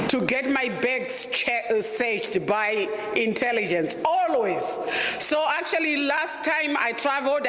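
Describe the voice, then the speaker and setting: A middle-aged Zimbabwean woman whose voice she calls deep tells her story slowly, candid and emphatic, with flashes of humor. She speaks in a lecture room where the sound rings with a long reverb. A faint hum of ambience sits behind her. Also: a bit bright. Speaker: guest presenter. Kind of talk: personal storytelling talk.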